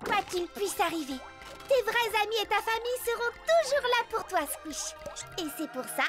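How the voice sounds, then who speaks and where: A woman voicing an excitable, cheeky preteen amoeba filmmaker in a cartoon dub, with a theatrical, earnest delivery. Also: extremely high pitch, moderate pace. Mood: earnest, upbeat. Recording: loud music behind the voice.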